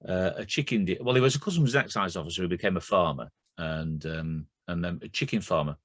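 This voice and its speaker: A man's voice: low-pitched, fast, no echo. A middle-aged man with a Lancashire accent, chatting about his life in a casual, storytelling way. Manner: chatty, anecdotal. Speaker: guest poet. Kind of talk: casual conversation.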